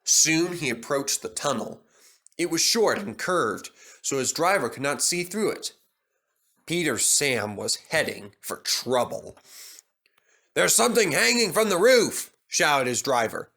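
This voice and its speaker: A man narrates a children's storybook from a script. His pitch sits in the middle and moves about in a very animated way. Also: measured pace.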